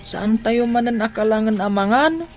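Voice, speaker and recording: A woman's voice: on the high side, normal speed, a little mellow, faint music behind, natural voice. A woman with an Ilocano accent playing an innocent young child in a radio drama, with simple, pleading speech. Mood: innocent, complaining.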